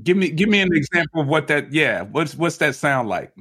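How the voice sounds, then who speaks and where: A man's voice: on the high side, big pitch swings, a little fast, pushed and bright, husky. A man, hosting a conversational podcast and asking his guest thoughtful, open questions. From United States. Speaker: podcast host. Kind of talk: conversational interview.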